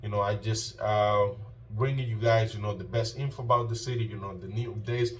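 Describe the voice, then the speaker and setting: A Colombian man with a lowish voice hosts a casual vlog, recorded a little away from the mic. His Spanish-accented speech is slangy and informal, circling back over phrases with lots of "you know". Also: fairly even intonation, fairly quick, distant mic, a little hiss or hum, low-quality recording. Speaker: YouTube host.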